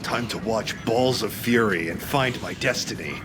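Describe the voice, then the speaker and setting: A man's voice: on the low side, moderate pace. A man giving casual game commentary with a wry, mock-dramatic sense of humour. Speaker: let's play commentator. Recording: game music behind the voice. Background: loud video game music.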